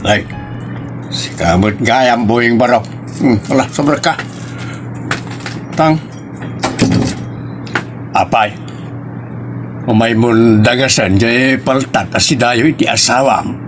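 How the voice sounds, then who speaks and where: A man with an Ilocos accent playing a grumbling older village father in a drama, with a gravelly voice. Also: medium pitch, takes it slowly, pauses often, over music, close to an everyday voice. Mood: inviting. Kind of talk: Ilocano audio drama acting.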